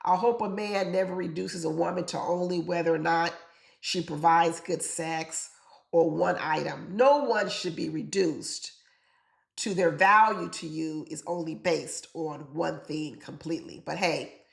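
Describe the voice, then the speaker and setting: Woman, congested, gravelly fry, echoey room, opinionated commentary chat. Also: lowish voice. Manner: chatty and opinionated, talks straight to viewers. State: congested from being sick. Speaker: reality TV commentator.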